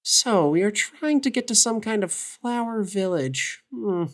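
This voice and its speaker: A woman's voice: medium pitch, lively intonation, measured pace, close to an everyday voice. A woman playing a wary, caring and easily exasperated male adventurer in an audio drama. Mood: doubtful, wry.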